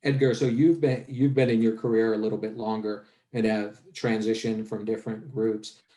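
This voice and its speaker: A man's voice: lowish voice, level tone, moderate pace, dark tone. A man moderating a webinar panel, affirming the guests and asking long questions.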